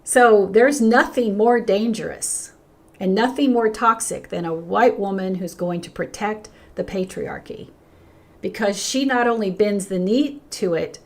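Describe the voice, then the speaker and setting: An older woman pastor hosting a livestream, talking earnestly and reading out viewer comments while she is losing her voice. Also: mid-range voice. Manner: earnest and emphatic, with frequent restarts and a lively rise when greeting viewers. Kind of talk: unscripted livestream talk, reading chat comments.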